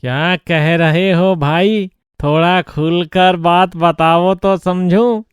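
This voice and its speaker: A man voicing a shrewd elder-brother ghost in a cartoon story, chiding in an incredulous, drawn-out manner. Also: sits high, fairly even intonation, smooth, no room sound, studio quality. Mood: scolding. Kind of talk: Hindi cartoon story acting.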